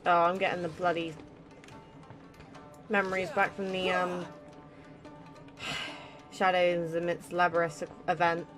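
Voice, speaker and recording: A woman's voice: lowish voice, level tone, slow pace, long pauses, over music. A woman giving casual let's play commentary as a gaming streamer, chatty, irreverent and sweary.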